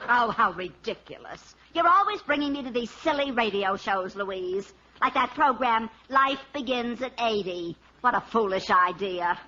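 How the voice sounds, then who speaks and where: A woman's voice: fairly high pitch, animated, normal speed, hardly any pauses, bright, forceful tone. A woman playing a scornful, grumbling older lady in a 1940s radio comedy. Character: scornful, grumbling elderly lady, reluctant radio audience member. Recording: dull old radio recording.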